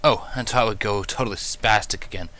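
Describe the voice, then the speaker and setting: A man voicing an eager, friendly little boy dragon with excitable, slangy, chatty delivery in a fan fiction audiobook. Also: fairly low pitch, a little fast. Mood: concerned.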